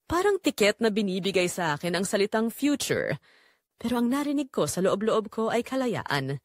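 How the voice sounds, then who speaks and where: A woman playing an unhappy wife and mother with a Filipino accent, confessing her betrayal in measured, reflective first-person audio drama narration. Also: mid-range voice, sing-song, no echo, clean studio sound, close to an everyday voice. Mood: troubled, resolute.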